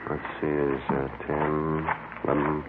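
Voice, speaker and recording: An actor playing a brisk, businesslike police detective sergeant in a 1950s radio crime drama, speaking in clipped, matter-of-fact phrases. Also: male voice, extremely low pitch, completely flat delivery. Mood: brisk, procedural. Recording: noisy, tinny old 1950s radio recording.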